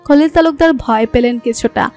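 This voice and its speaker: A woman's voice: fairly high pitch, moderate pace, darkish tone, faint music behind. A woman with a Bangladeshi accent reading scripted narration for a romantic story.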